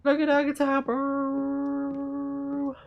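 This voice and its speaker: A man's voice: extremely high pitch, completely flat delivery, very slow, lo-fi sound. A man giving casual live let's play commentary, musing aloud and reacting as the game goes. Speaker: game streamer.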